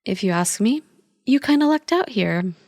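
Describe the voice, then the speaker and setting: A woman acts out a young woman, the teasing older sister of a friend, in an ASMR roleplay. Her voice sits at a medium pitch and she talks in a casual, playful, reassuring way. The sound is dry and close, with no room echo. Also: lively intonation, moderate pace, darkish tone.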